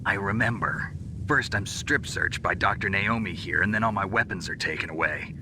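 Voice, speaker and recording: A man voicing a gruff, dry-witted special forces agent with a low rasp, in a noisy game cutscene. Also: normal speed. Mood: wry. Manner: dry, laconic.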